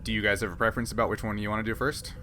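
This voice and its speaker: A man acting as game master, narrating a tabletop roleplaying game and explaining rules in a halting, think-aloud way. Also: lowish voice, fairly even intonation, sharp tone, soft background music.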